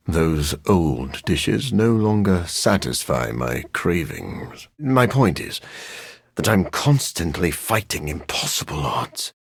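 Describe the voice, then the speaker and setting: A gravelly, low-pitched vampire scientist from a video game. He speaks formally and with dry, melancholy wit, his intonation swinging widely. He sounds like a learned, brooding creature of the night. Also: dry recording, full character voice. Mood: bitter, melancholy. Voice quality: gravelly, husky. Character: brooding, dry-witted living vampire scientist, adult man. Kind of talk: video game character voice lines.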